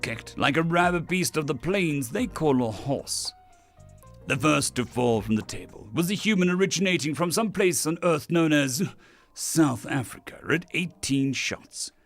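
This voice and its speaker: A man's voice: fairly high pitch, animated, a little slow, faint music behind, voice pushed up. A man voicing a proud alien drinking champion, narrating a story in a theatrical, boastful, melodramatic way. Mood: rueful, dramatic. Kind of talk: dramatic first-person story narration.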